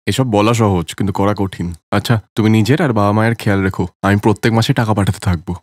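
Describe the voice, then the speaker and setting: A man voicing a busy, money-minded young husband in a cartoon story, brisk, dismissive and self-justifying. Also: on the low side, fairly even intonation, no echo, clean studio sound, lightly acted. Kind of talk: cartoon story character acting.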